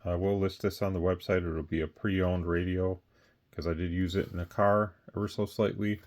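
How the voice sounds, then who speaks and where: A man's voice: sits low, flat delivery, moderate pace, darkish tone. A man giving a casual, unscripted product rundown as a seller, plainspoken and rambling. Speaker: radio seller presenting a product. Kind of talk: casual off-the-cuff product talk.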